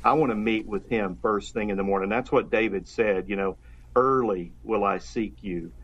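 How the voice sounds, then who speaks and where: A man's voice: fairly low pitch, moderate pace, a bit bright, slightly rough. A pastor speaking earnestly and fervently about faith in a casual conversation, often restarting his sentences. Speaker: pastor, guest. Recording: dull, lo-fi sound.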